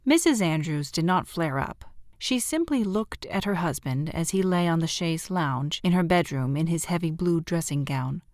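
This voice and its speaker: Low-pitched woman narrating an audiobook. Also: lively intonation, normal speed, darkish tone, no echo, studio quality.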